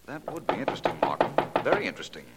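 An actor playing a suave, inquisitive private investigator with formal, urbane phrasing, in 1940s radio mystery style. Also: male voice, sits low, sing-song, racing. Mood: intrigued. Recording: noisy vintage 1940s radio recording.

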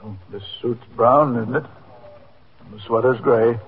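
A man's voice: mid-range voice, a little slow, husky. Stubborn old man, vintage radio sound. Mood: grumbling, indignant. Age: 70s (figure). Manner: stubborn, plaintive. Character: stubborn, hurt old runaway father, seventy-eight, male. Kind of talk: 1950s radio drama acting. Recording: muffled narrow-band 1950s radio recording.

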